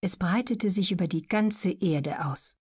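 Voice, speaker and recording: A woman narrating an educational audiobook in a measured, clearly articulated, scripted reading. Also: fairly low pitch, a little mellow.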